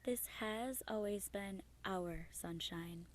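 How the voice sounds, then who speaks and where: A woman's voice: medium pitch, slow, lightly acted. A young woman playing a teasing, hot-headed, territorial leaf with a blunt manner in a student radio play. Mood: indignant. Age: young adult. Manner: blunt, confrontational. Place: small improvised home recording space.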